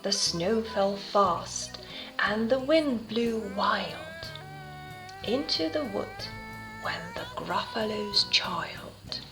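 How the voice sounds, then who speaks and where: A woman tells a story to children very slowly, with lively rises and falls. She speaks in simple phrases with dramatic pauses, like a teacher, while faint music plays. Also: mid-range voice, roomy sound, rough recording. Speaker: English teacher reading aloud to children. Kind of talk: children's storytelling, gentle chat and narration.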